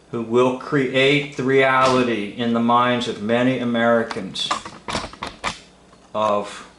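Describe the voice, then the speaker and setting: Man, unhurried delivery, broken emphatic phrases, informal commentator, mid-pitched, echoing room. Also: fairly bright tone, a little hiss or hum. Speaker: video host and commentator. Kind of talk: informal unscripted commentary on an old film. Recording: roomy, echoing room sound.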